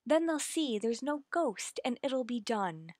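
A woman playing an earnest, anxious woman in love with a widower, in slow, pleading, melodramatic old-time radio suspense style. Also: fairly high pitch, very animated, a little mellow, no echo, studio quality. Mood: tender, pleading. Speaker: radio drama actor.

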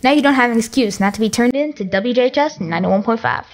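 A woman's voice: a little high, lively intonation, fast talker. An American woman presenting on the radio as host, reading from a script. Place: radio studio.

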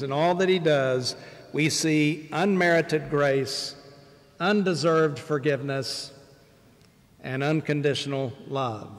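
Older male bishop, slightly high voice, measured pulpit delivery with long pauses, sanctuary reverb. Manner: measured, deliberate preaching with pauses. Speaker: bishop preaching. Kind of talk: sermon. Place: large church sanctuary, at a wooden pulpit. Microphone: pulpit microphone, far camera.

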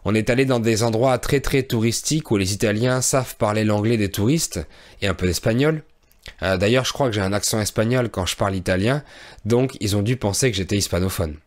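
A man in his thirties from France, a fairly low-voiced language teacher recounting a trip to learners. He speaks in a clear, measured way, with the odd soft "euh". He is recorded close on a studio microphone in a small indoor room. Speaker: French teacher and podcast host. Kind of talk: relaxed storytelling for language learners. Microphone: studio microphone on a boom arm, close.

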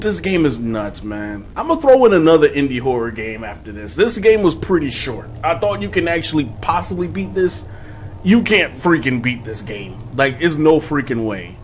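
A man in his twenties giving casual, slangy horror game commentary to his viewers in an animated, excitable way. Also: on the high side, moderate pace, slight background noise. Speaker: gaming video host. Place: small room at home, indoors.

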